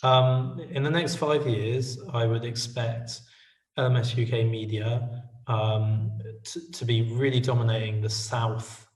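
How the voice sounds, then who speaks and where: A man's voice: mid-range voice, little pitch movement, measured pace. Earnest man in a roomy space. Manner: earnest, business-minded, many fillers. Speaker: guest, media company co-founder. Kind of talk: casual interview answers. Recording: roomy audio from far off the mic.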